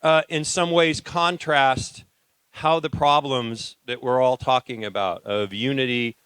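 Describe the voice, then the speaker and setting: Guy moderating a panel, smooth clear voice, dry sound, lots of ums. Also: medium pitch, steady tone, measured pace, a bit bright, no echo. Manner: loose, conversational, frequent fillers and restarts. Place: conference hall.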